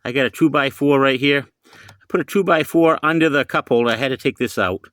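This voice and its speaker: A man's voice: medium pitch, a little fast, a bit bright, no room sound, studio quality. A middle-aged or older man from the United States giving a casual, rambling vlog demonstration inside his pickup truck, often repeating himself. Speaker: hobbyist showing his CB radio.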